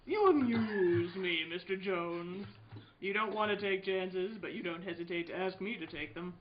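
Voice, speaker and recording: A woman's voice: fairly low pitch, normal speed, lightly acted. A woman playing a wary, coolly skeptical wealthy man in a comic live reading of an old radio play. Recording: dull sound with faint noise.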